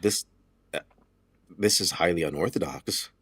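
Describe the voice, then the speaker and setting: An actor playing a pompous, formal lawyer reading out a will in a period audio drama, with stately, old-fashioned phrasing. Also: male voice, sits low, a little slow, fairly bright tone, husky, dry recording, studio quality, heavily acted. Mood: awkward, apologetic.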